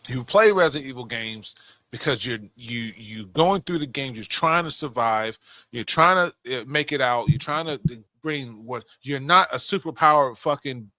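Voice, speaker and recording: A man's voice: mid-range voice, measured pace, pushed and bright, dry recording. A young adult man co-hosting a podcast, giving opinions in casual talk and swearing freely. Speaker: podcast co-host. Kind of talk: casual film discussion.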